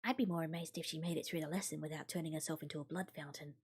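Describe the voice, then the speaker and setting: A woman voicing a bored, blunt high school girl with dry sarcasm in a visual novel. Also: steady tone, racing, darkish tone, husky. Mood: dry, sarcastic. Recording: music behind the voice.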